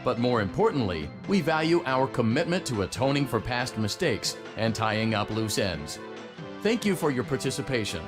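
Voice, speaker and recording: An actor playing an upbeat, smooth corporate narrator for a pizza company in a video game, formal and salesman-like. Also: medium pitch, sing-song, normal speed, lightly acted. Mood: formal, congratulatory. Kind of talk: scripted announcement in a video game. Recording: game audio with music behind.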